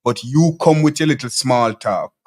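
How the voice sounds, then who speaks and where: A man's voice: medium pitch, normal speed, darkish tone. A Jamaican man hosting a live talk show, reading viewer comments aloud and answering them bluntly, often restarting his phrases. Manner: blunt, combative replies to commenters; loose, repeats and restarts phrases. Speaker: show host.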